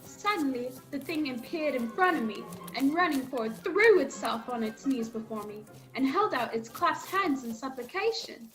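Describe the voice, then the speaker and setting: An earnest young cabin boy voiced by a woman tells an adventure in a high, bright voice. The delivery is dramatic radio play storytelling. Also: lively intonation, normal speed, hardly any pauses, faint music behind, rough recording. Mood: frightened, suspenseful.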